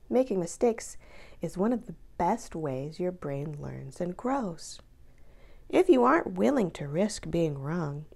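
A woman reading a children's book aloud in an expressive, deliberate way, drawing out key words for emphasis. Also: lowish voice, vocal fry.

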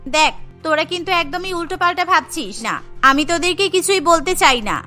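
A woman's voice: extremely high pitch, lively intonation, fairly quick, pushed and bright, soft background music, exaggerated voice. Woman voicing a loyal college girl. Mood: earnest. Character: kind college girl, loyal best friend.

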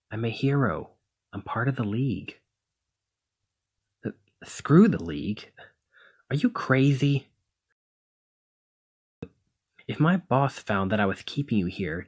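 A man acting a low, husky demigod hero. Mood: worried, firm, then exasperated, caring. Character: brawny male demigod hero, protective, conflicted, exasperated lover. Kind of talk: ASMR roleplay, one-sided scripted dialogue.

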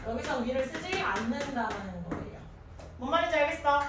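A woman in her thirties teaching a grammar class in an animated, informal way, often quizzing students with questions. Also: high voice, normal speed. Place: indoor classroom at a chalkboard. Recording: echoey classroom from a distance, faint noise.

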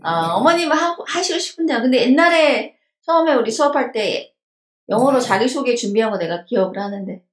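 A woman teacher with a fairly high, very animated voice leads an online lesson. She is encouraging and keeps prompting with questions. The sound is roomy, as over a video call. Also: a little fast. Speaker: online English teacher, host.

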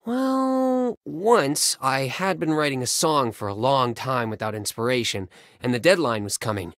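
Boyish, animated young pop singer. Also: male voice, medium pitch, a little slow, no echo, studio quality. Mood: animated storytelling. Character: playful, affectionate young male pop singer. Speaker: voice actor in a mobile romance game. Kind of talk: scripted game phone-call dialogue.

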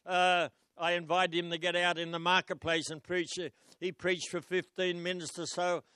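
An older man with an Australian accent preaches a storytelling sermon into a handheld microphone. His voice is smooth and slightly high, and the sound is dry and close. He calls out questions to the congregation between his stories. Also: dry recording. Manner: storytelling preacher, rising to loud exclamations and calling out to the congregation. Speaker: pastor. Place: church stage. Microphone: handheld mic.

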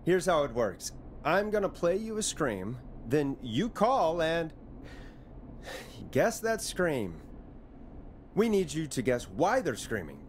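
An actor playing a weary, dry-witted late-night radio host in a video game, deadpan and grudging. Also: male voice, on the high side, slow pace, pauses often, a little hiss or hum. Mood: showy on-air hosting. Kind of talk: game voice acting.

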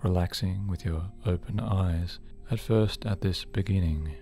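Low, mellow male hypnosis guide. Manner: soothing, measured, deliberate phrasing. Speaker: hypnotherapist host. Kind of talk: guided sleep hypnosis narration. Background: background music.

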